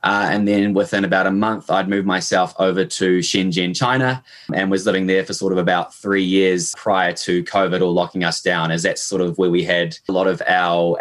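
Young New Zealand man, deep low voice, easygoing interview guest, loose and filler-heavy. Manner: relaxed, hedging with fillers. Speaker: podcast guest, e-commerce manager. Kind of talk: casual interview answers.

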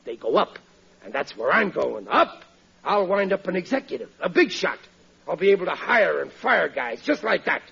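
A man's voice: high-pitched, big pitch swings, normal speed, creaky, bright, forceful tone, slightly rough, full character voice. An actor playing a blustering, boastful husband and father in a 1940s radio sitcom. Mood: indignant bluster. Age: middle-aged. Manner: blustering, indignant, ungrammatical. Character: blustering, boastful, good-natured working-class husband and father. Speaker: comedy lead actor. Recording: muffled vintage radio recording.

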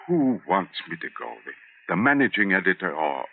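An actor playing a desperate, humble old man who begs haltingly in an old-time radio drama. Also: sits low, very animated, a little hiss or hum. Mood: desperate pleading. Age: older. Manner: pleading, halting, stammering. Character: desperate, humble old male employee begging. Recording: tinny old radio recording.

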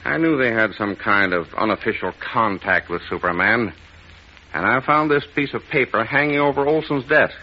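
An actor playing a gruff, stubborn, exasperated police inspector in 1940s radio style, blunt and hectoring. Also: male voice, mid-range voice, moderate pace, lightly acted. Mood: insistent. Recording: muffled old radio drama recording.

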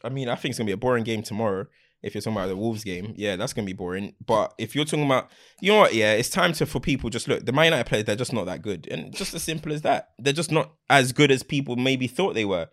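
A man's voice: mid-range voice, lively intonation, fast talker, a bit bright. A man co-hosting a football chat, blunt and opinionated with street slang. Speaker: podcast co-host. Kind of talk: casual football banter.

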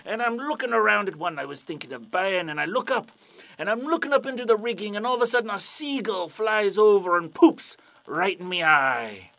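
A man's voice: high voice, expressive, brisk, vocal fry, bright, forceful tone, voice pushed up, exaggerated voice. A man voicing an old male pirate with a peg leg and a hook, who growls "arr" and says "me" for "my" while telling his tales. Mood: yarn-spinning. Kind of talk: joke telling in a radio drama.

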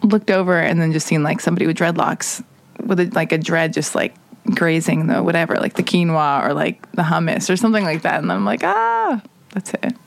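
A woman's voice: on the low side, big pitch swings, brisk, creaky. A woman hosting a casual podcast interview, talking in a rambling, confiding and teasing way. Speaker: podcast host interviewing a guest. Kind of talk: casual, candid chat.

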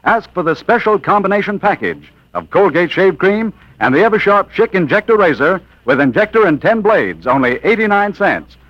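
Animated American radio pitchman, fairly high-voiced. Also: normal speed, dry recording. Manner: polished, emphatic salesmanship. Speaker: commercial announcer. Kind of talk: 1940s radio advertising read. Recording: dull vintage radio broadcast.

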